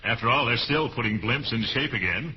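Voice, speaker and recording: A man's voice: medium pitch, expressive, fairly quick, natural voice. A man playing a pompous, blustery, chuckling middle-aged uncle in a 1940s radio comedy. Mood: jovial, proud. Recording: noisy, muffled old radio recording.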